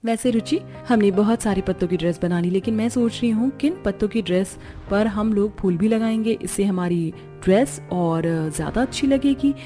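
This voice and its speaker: A woman's voice: mid-range voice, normal speed, few pauses, mellow, faint music behind, close to an everyday voice. A woman voicing a cheerful, industrious young daughter-in-law in a cartoon story, talking in a friendly, eager way. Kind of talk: Hindi cartoon story dialogue.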